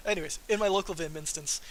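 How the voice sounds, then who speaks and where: A man giving an informal technical presentation on a livestream as a software developer, in a casual, self-deprecating manner. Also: high voice, moderate pace. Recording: faint background hiss.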